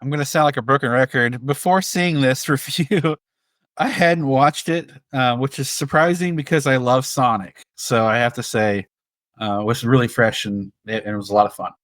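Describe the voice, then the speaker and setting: A man's voice: mid-range voice, moderate pace, fairly bright tone, no echo. Man chatting, dry close sound. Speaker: podcast co-host. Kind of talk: casual chat.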